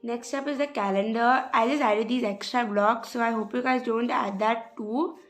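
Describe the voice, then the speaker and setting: Young woman with a slightly rough voice. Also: a little high, fairly even intonation, normal speed, soft background music. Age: young adult. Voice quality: hesitant, many "sort of" fillers. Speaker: journal vlogger. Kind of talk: casual craft walkthrough voice-over.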